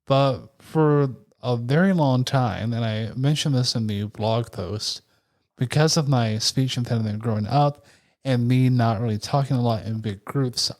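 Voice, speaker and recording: A soft-spoken man with a gentle tone, co-hosting a podcast and talking candidly and reflectively. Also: medium pitch. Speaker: podcast co-host. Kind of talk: casual podcast chat.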